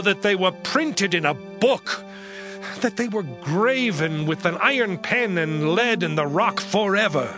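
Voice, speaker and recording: An actor playing a suffering man who pleads with his friends, in a dramatized scripture reading with anguished, slow declamation. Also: high-pitched, exaggerated voice. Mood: pleading. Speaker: actor voicing Job. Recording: music bed under voice.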